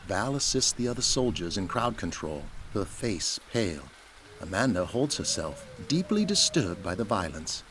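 A low-voiced man narrates an audio drama with a very lively, varied delivery. He reads scripted scene descriptions over clearly audible background noise. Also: normal speed.

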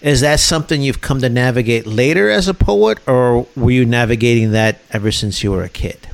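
A man's voice: medium pitch, brisk, creaky. A man from Chicago co-hosting a radio interview, enthusiastic and often repeating words as he starts his questions. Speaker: radio co-host.

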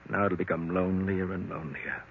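Actor playing kindly tired old man, very low voice, melancholy, hesitant, old radio drama, thin narrow-band sound. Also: fairly even intonation, a little fast, slight background noise, natural voice. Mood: melancholy, soothing. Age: older. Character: weary, kindly, defeated old uncle. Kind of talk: 1940s radio mystery acting. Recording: tinny, narrow vintage radio recording.

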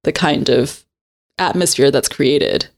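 A speaker from Hong Kong talks in a mid-pitched voice during a casual conversation. They jump in readily and question points directly. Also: big pitch swings, creaky. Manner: engaged, quick to interject and push back.

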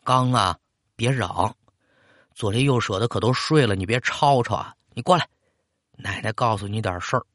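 A man voicing an elderly grandmother, secretive and doting, gently scolding in a folksy storytelling style. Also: on the low side, moderate pace, no room sound, clean studio sound, close to an everyday voice. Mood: hushed coaxing. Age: older.

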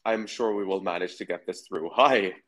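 A man hosting a podcast, his voice hoarse and raspy from the flu, a bit halting as he asks questions. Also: low voice, a bit bright. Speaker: podcast host.

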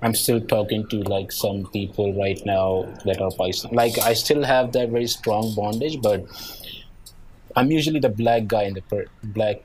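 A man's voice: on the low side, normal speed, slight background noise, rough recording. A young man with a light Nigerian accent, chatting as a guest and rambling with lots of "like" and "yeah". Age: young adult. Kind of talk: casual conversation.

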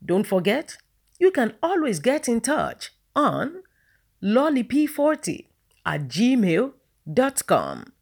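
A woman's voice: a little high, gravelly, breathy edge. A woman whose first language is Yoruba, hosting a daily devotional. She teaches, prays and signs off in an earnest way, calling her listeners friends. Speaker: devotional host.

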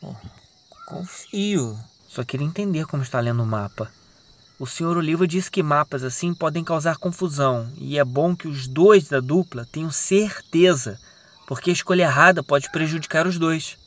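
A man's voice: fairly high pitch, normal speed, a bit bright, natural voice. Careful, bookish young Brazilian scout played by a man. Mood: doubtful, careful. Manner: reasoning, earnest, careful. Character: cautious, studious young male scout, rule-following and earnest. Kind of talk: audio drama dialogue.

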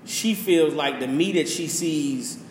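A man's voice: fairly high pitch, slow. A man who rants passionately as a podcast host, preachy and full of profanity. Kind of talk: casual opinion rant. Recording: echoey room with faint hiss.

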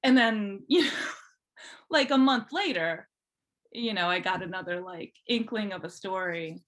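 Female podcast guest, informal and chatty, relaxed personal storytelling in everyday conversational language. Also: medium pitch, measured pace. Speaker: podcast guest, fan fiction writer. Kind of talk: casual chat, telling personal story.

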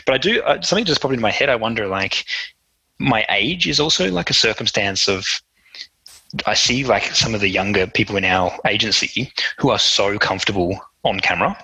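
Husky, bright-toned man, hesitant. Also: lowish voice, expressive, a little fast, poor audio. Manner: self-reflective, hesitant, many fillers. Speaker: podcast guest. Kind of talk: casual interview conversation.

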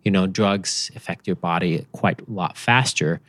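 A very low-pitched man with a Finnish accent, talking loosely and easily as a podcast guest. His speech wanders with small restarts and fillers. The sound is dry and close, with no room around it. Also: normal speed, dry recording. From Finland. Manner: relaxed, rambling with fillers and restarts. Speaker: company founder, podcast guest. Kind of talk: casual interview chat.

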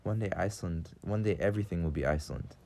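Male co-host, lower register, halting and giggly delivery in casual comedy banter. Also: warm tone. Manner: hesitant, filler-heavy, giggly. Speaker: podcast co-host. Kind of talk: comedy sketch and casual podcast chat.

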